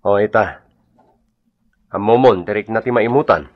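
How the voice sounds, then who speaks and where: A man's voice: on the low side, moderate pace, dry recording, lo-fi sound, lightly acted. A man playing a blunt, scolding husband who lectures reproachfully in an Ilocano radio drama. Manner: preachy, reproachful.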